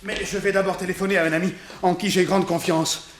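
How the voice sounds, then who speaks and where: An actor playing a courteous, fussy, easily flustered hotel manager in a French radio detective drama. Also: male voice, sits high, flat delivery, fairly quick, roomy sound, a little hiss or hum, natural voice. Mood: flustered, alarmed.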